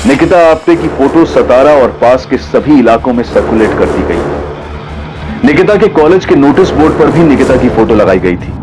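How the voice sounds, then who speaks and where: A man's voice: medium pitch, animated, moderate pace, poor audio. A man narrating a true-crime TV show in a scripted style. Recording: dramatic music behind the voice.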